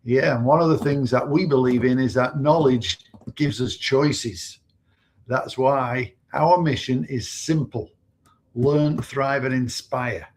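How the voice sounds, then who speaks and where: A man's voice: mid-range voice, steady tone, slow pace, a little mellow. A man from Manchester with a Mancunian accent, co-hosting a casual live stream in a rambling, conversational way. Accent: Mancunian English. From Manchester, England. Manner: conversational, rambling, uses fillers. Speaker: co-host.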